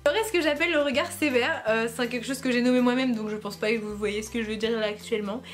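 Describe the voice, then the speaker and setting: A young woman from France talks to her viewers in a loose, joking vlog style, laughing at herself as she goes. Her voice sits high, and faint music plays quietly behind her. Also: quick pace. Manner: playful, giggly, self-mocking. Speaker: YouTuber. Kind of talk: casual vlog chat to camera.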